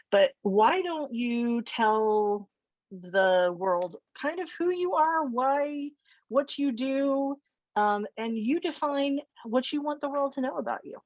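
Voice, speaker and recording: A woman hosts an interview show, introducing her guest with effusive, rambling enthusiasm. Her voice sits at a middle pitch. The recording is dry but a little dull and muffled. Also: no echo. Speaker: show host. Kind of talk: casual interview hosting.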